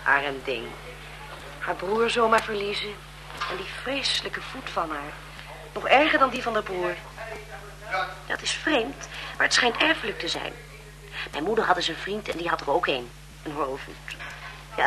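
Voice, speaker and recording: A woman's voice: mid-range voice, close to an everyday voice. An actress playing a gossipy, chatty female servant to a marquise in a radio drama. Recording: 1970s radio, crowd noise behind.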